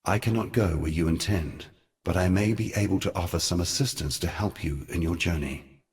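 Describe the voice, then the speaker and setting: Wise brother god, deep voice, grave, measured, lofty archaic phrasing, fantasy game mentor. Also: male voice. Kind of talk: dramatic fantasy game voice acting.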